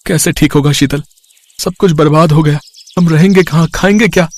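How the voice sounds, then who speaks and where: A man's voice: on the high side, moderate pace, lightly acted. A man playing an anxious, trusting younger brother and husband in a storybook tale, earnest and pleading. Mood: despairing worry.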